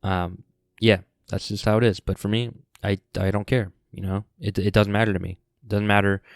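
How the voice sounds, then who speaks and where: A man's voice: lowish voice, flat delivery, normal speed, no room sound, studio quality. A man in his twenties, hosting a podcast, talks casually in a rambling, laid-back way full of fillers and restarts. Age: 20s. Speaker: podcast host. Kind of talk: casual solo podcast monologue.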